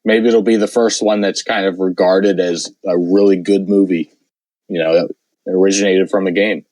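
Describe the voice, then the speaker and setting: A man with a lowish voice chats casually on a podcast, rambling and leaning on fillers. His voice sounds worn and hoarse. The sound is dry and close. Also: fairly even intonation, moderate pace, darkish tone, dry recording. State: losing his voice. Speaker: podcast host. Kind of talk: casual chat.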